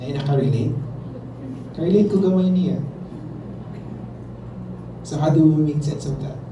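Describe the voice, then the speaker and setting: A man with a Filipino accent runs a workshop, switching between English and local phrases as he asks the class questions. His voice sits a little high, heard from a distance in an echoey room with steady traffic noise behind him. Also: normal speed. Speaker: workshop facilitator. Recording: roomy, distant, noisy room recording.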